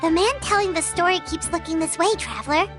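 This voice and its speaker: A woman voicing a tiny, chirpy, childlike fairy companion in a video game, with a squeaky, perky voice. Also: fairly quick, over music. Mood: curious, chirpy. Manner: refers to self in third person, perky.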